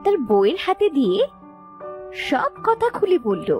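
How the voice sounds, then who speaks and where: High-voiced woman narrating a story. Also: very animated, unhurried, muffled recording, faint music behind. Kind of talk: scripted cartoon story narration.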